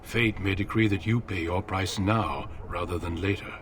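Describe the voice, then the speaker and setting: A man playing a grave veteran leader of a warrior order in a fantasy game, measured, formal and authoritative. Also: sits low, steady tone, a bit bright, slight background noise. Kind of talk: scripted fantasy game dialogue.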